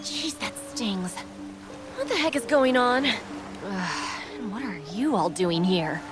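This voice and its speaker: Brash, lively young gunslinger. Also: female voice, medium pitch, slow. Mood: weary exasperated. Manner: sassy, exasperated. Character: brash, hot-tempered young woman sharpshooter. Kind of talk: video game voice acting. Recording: loud music under the voice.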